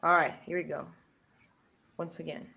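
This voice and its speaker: A woman's voice: deep voice, little pitch movement, moderate pace, creaky, pushed and bright. A Brazilian woman with a Brazilian accent, a native Portuguese speaker, hosting a casual live stream, laughing often and swearing lightly. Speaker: stream host.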